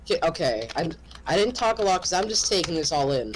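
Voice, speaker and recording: A man's voice: on the high side, very animated, fast, a little hiss or hum. A man in casual chat as a co-commentator, reacting with sweary, exasperated joking. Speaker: listening co-commentator.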